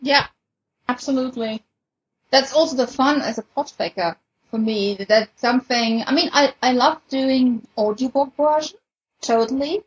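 A woman with a fairly high, husky voice chats reflectively in a casual panel. Her voice comes through slightly muffled and lo-fi. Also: moderate pace. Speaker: podcast panelist, audiobook-style fan fiction reader.

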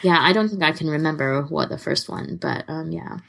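A woman with a slightly low voice co-hosts a podcast. She talks in a casual, conversational way, as in an informal chat. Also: brisk, gravelly, fairly bright tone. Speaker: podcast co-host.

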